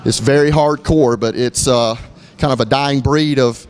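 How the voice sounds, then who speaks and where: A middle-aged man from Florida, a gym owner giving a casual walkthrough of his gym, talking in a relaxed way with lots of fillers and restarts. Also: medium pitch, measured pace, smooth. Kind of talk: walkthrough tour, casual narration. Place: gym indoors, moving through rooms.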